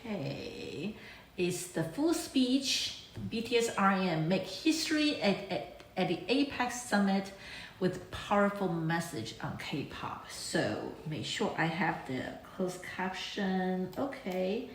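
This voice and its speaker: Female reaction host, lower register, casual and enthusiastic fan energy. Roomy, echoey sound. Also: sing-song, takes it slowly, a little hiss or hum. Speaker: reaction video channel host. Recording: echoey room.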